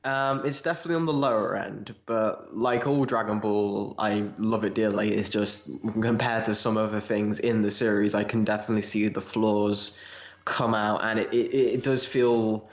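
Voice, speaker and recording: A man with a fairly low voice co-hosts a casual fan podcast. He chats conversationally. The recording is dull and muffled, with noticeable room echo. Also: steady tone, moderate pace. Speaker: podcast co-host. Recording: muffled, echoey room sound.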